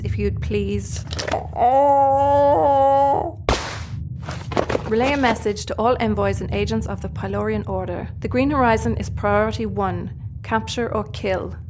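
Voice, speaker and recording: A menacing female crime boss, played by an actress in a sci-fi audio comedy. She speaks in a measured, dry and imperious way, coolly sardonic. Clear background ambience runs under her voice. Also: medium pitch, noisy background, close to an everyday voice. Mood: cold, commanding.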